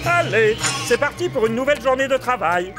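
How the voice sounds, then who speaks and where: A man's voice: extremely high pitch, big pitch swings, fairly quick. A man voicing a cheerful, eager and polite vampire father in a cartoon. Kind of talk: cartoon voice acting. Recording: music under the voice.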